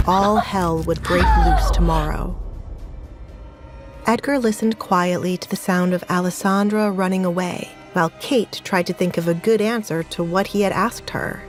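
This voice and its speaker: A woman reading scripted narration for an audio drama, with music playing behind her voice. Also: mid-range voice. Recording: music under the voice.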